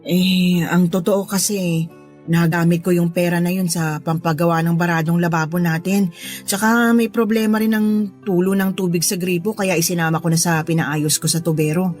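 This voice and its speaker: A woman acts as a middle-aged mother in a Tagalog radio drama. Her voice sits fairly low, and she comes across as gentle, apologetic and forgetful. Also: flat delivery, normal speed, faint music behind, close to an everyday voice.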